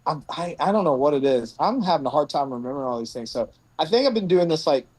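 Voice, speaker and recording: A man's voice: on the high side, lively intonation, quick pace, no echo. A man in his late thirties who runs a rescue mission, talking earnestly and thinking aloud in a casual conversation outdoors. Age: 30s. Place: outdoors on a bench.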